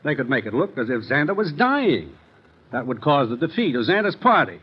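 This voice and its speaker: Casting: an authoritative American commissioner, serious and businesslike, 1950s radio drama, muffled old broadcast sound. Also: male voice, a little high, big pitch swings, fairly quick, husky. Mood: grave, explanatory. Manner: earnest, authoritative, urgent briefing. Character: grave, businesslike male American intelligence commissioner. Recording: muffled vintage radio recording.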